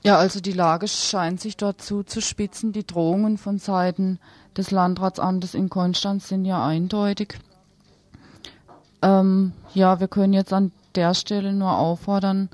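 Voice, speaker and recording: A woman's voice: medium pitch, a little mellow, dry recording. Female live radio host, mid-range voice, improvises hesitantly, close dry studio mic. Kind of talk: live radio interview, unscripted moderation.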